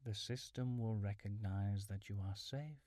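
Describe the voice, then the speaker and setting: A man leading a calm guided mindfulness meditation in a soothing, measured, instructional way, with soft breathy phrase endings. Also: on the low side, no echo, clean studio sound. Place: small furnished room at home.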